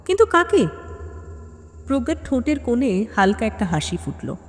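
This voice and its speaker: A woman's voice: fairly high pitch, big pitch swings. Woman narrating suspense in long reverb. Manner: suspenseful, deliberate with dramatic pauses. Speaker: audio story narrator. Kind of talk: dramatic scripted narration of a detective story.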